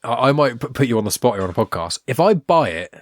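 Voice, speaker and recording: A man's voice: mid-range voice, big pitch swings, fast talker, no room sound, clean studio sound. A man hosting a podcast, chatting in a casual, enthusiastic way with frequent restarts. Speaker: podcast host. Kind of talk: casual chat.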